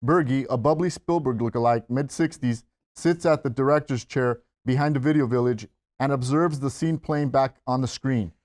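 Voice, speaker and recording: Male narrator, mid-pitched, reading scene action for a script table read. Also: moderate pace, a little mellow. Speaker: stage-direction narrator.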